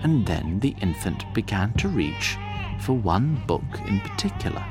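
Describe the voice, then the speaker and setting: A man narrating a scripted fantasy tale in a theatrical, deliberate and wry manner. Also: fairly low pitch, big pitch swings, music in the background.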